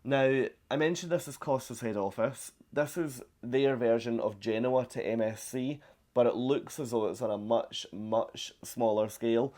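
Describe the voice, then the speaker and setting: A man's voice: mid-range voice, normal speed, mellow. A young British man from Britain, chatting casually to camera as a travel vlogger from a cruise ship balcony, upbeat and rambling with fillers.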